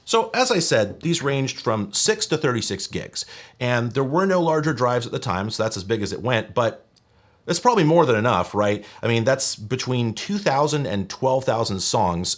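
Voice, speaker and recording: A man hosting a tech explainer video in a conversational, wry, nerdy way, as if talking straight to viewers. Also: fairly low pitch. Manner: casual, wry, nerdy technical detail. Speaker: host of a retro tech video channel.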